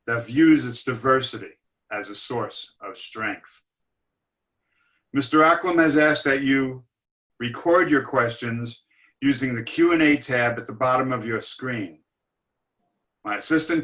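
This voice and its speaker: A man speaking as an academic director, giving a formal, scripted introduction to an online lecture in measured phrasing. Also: mid-range voice, fairly bright tone. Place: online webinar.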